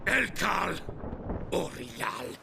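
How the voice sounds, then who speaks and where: A man voicing a hostile foreign soldier guarding prisoners in a video game, harsh and commanding in an invented tongue, with a gravelly voice. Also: high-pitched, very animated, very slow, very noisy, full character voice. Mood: menacing. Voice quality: very creaky, gravelly.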